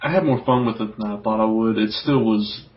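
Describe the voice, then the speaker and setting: A man's voice: on the low side, brisk. A man co-hosting a podcast, giving his opinions in casual chat, recorded with dull, lo-fi sound. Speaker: comics podcast co-host.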